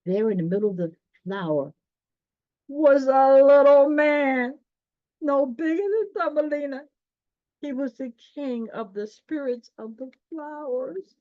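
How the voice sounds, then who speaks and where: Slow-paced woman storyteller, muffled sound. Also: high-pitched, no room sound. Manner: unhurried, explanatory, addressing listeners directly. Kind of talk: read-aloud children's story with chatty asides.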